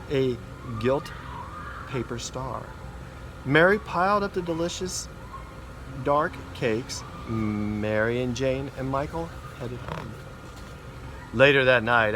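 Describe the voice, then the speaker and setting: A man reads a children's storybook aloud in an expressive way, stumbling now and then, outdoors in a backyard. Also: medium pitch. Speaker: school principal reading a story. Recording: noisy outdoor backyard recording. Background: neighbourhood ambience, mowers, dogs, kids.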